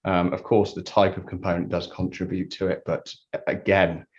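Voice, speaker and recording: A man giving a conversational technical explanation as an interview guest, halting and repeating words as he thinks. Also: low-pitched, little pitch movement, a little mellow. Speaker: interview guest, loudspeaker designer. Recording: dull lo-fi sound.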